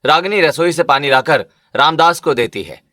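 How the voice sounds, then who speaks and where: A man's voice: a little high, animated, normal speed, bright, forceful tone. A man narrating a scripted cartoon story as a storyteller.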